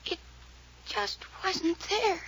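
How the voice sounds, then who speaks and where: A woman's voice: extremely high pitch, a little hiss or hum, full character voice, rough recording. A whispery, frightened young woman. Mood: haunted. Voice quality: hushed, often whispering. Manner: halting, dreamy, fearful. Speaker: radio drama character. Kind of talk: 1940s radio acting.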